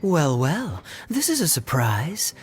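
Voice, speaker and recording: An actor playing a suave, teasing young man with smooth talk in a game cutscene. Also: a little high, wildly swooping pitch, takes it slowly, soft background music, exaggerated voice. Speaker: tavern customer.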